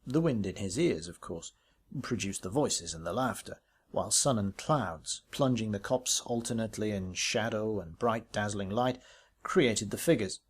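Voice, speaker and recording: A man reading a ghost story aloud as literary narration, in a measured, expressive way with varied emphasis. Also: on the low side, breathy edge. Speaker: audiobook reader.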